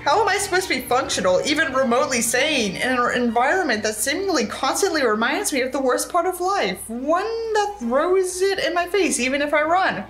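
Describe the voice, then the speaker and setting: A woman's voice: high-pitched, animated, fairly quick, hardly any pauses, fairly bright tone, faint music behind, poor audio. A young adult woman reading a book aloud dramatically and breaking in with sarcastic, exasperated asides. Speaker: video creator reading a book aloud with commentary.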